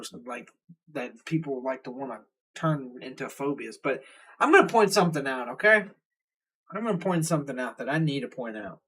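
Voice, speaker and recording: Husky Southern man, profane and blunt. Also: medium pitch, fairly bright tone, poor audio. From Southern United States. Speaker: reaction video host. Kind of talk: casual ranting commentary.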